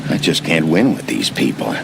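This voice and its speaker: Deep and gravelly, this weary wolf-detective talks bluntly, his pitch rising and falling a lot. Loud music plays under him in the game audio. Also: sing-song, normal speed, full character voice. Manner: blunt, questioning, tired. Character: gruff weary male detective, a wolf in human form.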